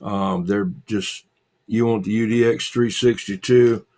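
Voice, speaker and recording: A man hosting a casual livestream, chatting with viewers in a halting, repetitive way and muttering asides to himself. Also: on the low side, fairly even intonation, a little mellow. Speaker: livestream host.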